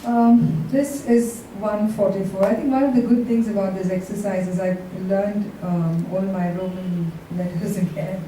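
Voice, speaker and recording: A woman reading poetry aloud with short casual introductions, in a deliberate, drawn-out delivery. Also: medium pitch, fairly even intonation. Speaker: poetry reader. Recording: echoey room, distant mic, faint noise.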